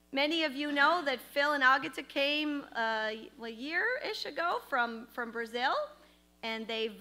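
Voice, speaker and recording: Middle-aged woman pastor, high bright voice, fervent prayer and warm chatty announcements, handheld mic in a church sanctuary. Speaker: pastor leading the service. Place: church sanctuary stage, at a wooden pulpit. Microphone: handheld microphone.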